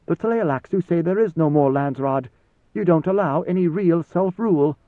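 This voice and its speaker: A man's voice: on the high side, normal speed, voice pushed up, close to an everyday voice. A man voicing a proud male warrior who is blunt, wary and full of challenging questions, in an audiobook reading. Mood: resentful, challenging. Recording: slightly roomy, phone-like narrow sound.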